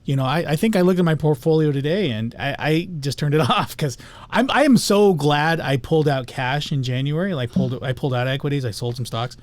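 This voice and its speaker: A man's voice: on the high side, a little fast, no room sound. A man hosting a casual show, loose and teasing, joking with his co-host. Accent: American. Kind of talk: casual chat.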